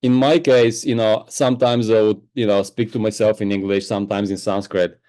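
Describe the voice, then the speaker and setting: A man's voice: fairly low pitch, flat delivery, fairly quick, darkish tone. A man from Bulgaria, Bulgarian his first language, explaining spiritual ideas enthusiastically as a podcast guest. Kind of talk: podcast interview.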